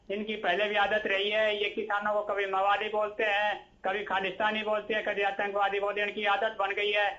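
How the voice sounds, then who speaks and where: Male political spokesman, sharp high voice with a steady tone, emphatic repetition, dull remote-link sound. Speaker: political party spokesman, debate guest. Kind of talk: political debate speech.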